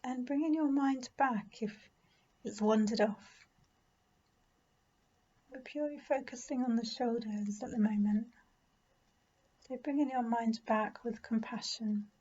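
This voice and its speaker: Woman doing a guided meditation, higher mellow voice, gentle and unhurried, bit muffled recording. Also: fairly even intonation. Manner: gentle, soothing, unhurried with pauses. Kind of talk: guided body scan meditation.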